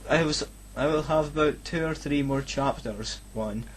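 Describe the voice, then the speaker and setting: A man's voice: on the high side, normal speed, over a noisy crowd. A Scottish man reading a story aloud in a casual, mocking way, breaking off for sweary, exasperated asides. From Scotland. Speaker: reader on a comedy fan fiction reading recording.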